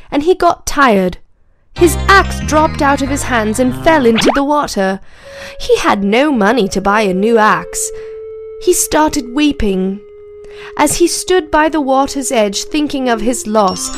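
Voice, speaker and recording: A woman with a fairly high voice reads a children's fable aloud in a slow, clear, even way. Background music plays plainly under her narration throughout. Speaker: storyteller. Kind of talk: scripted children's story narration.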